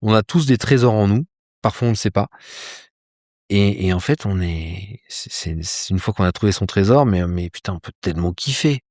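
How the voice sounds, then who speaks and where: A man being interviewed about life and ambition, talking in a lively casual way with frequent fillers, restarts and mild swearing, outdoors. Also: on the low side, normal speed, no echo, clean studio sound. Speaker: polar explorer guest. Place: outdoors with a view of a landscape.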